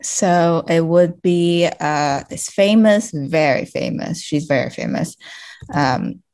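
A woman's voice: low-pitched, slow, a little mellow. A woman speaking casually as a podcast guest, searching for her words with frequent "like" and "you know". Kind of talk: casual interview conversation.

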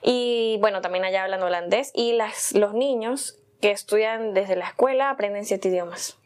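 A young woman talks easily as an interview guest. She tells her story in an unhurried, chatty way, with plenty of small fillers. Her voice sits at a middle pitch. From Venezuela. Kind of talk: casual conversational interview.

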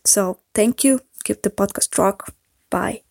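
A woman's voice: mid-range voice, slow, gravelly, a little mellow, dry recording, studio quality. A woman from Russia with a Russian accent, a native Russian speaker, leaving a careful, earnest voicemail in English. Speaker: voicemail caller. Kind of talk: recorded voicemail message.

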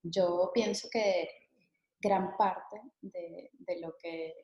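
A woman's voice: lowish voice, fairly even intonation, very slow, a little mellow, echoey room, muffled recording. A woman hosting an interview, asking questions in a casual, engaged way.

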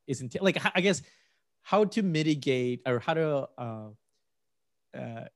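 A man from Peru hosts the podcast and asks long, winding questions packed with fillers and restarts. His voice sits at a middle pitch, recorded dry and close. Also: no room sound. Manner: hedging, many "like" fillers and restarts. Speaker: podcast host. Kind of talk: interview questions.

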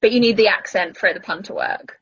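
Female co-host, husky, earnest explainer, dull dry lo-fi sound. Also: medium pitch, a little fast. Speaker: podcast co-host. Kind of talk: casual chat about films.

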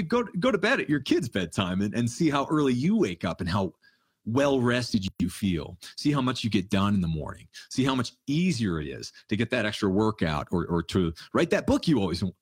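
A man in his thirties hosting a casual livestream Q&A, answering listener questions in a relaxed, rambling way and laughing often. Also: mid-range voice, slightly rough, no room sound, clean studio sound. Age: 30s. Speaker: livestream Q&A host. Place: home in the mountains.

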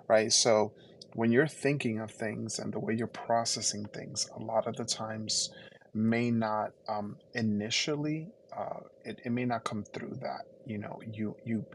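A man's voice: fairly low pitch, gravelly, fairly bright tone, a little hiss or hum, low-quality recording. A man from the Dominican Republic whose first language is Spanish, telling his story reflectively as a podcast guest, with frequent fillers and restarts. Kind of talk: conversational interview storytelling.

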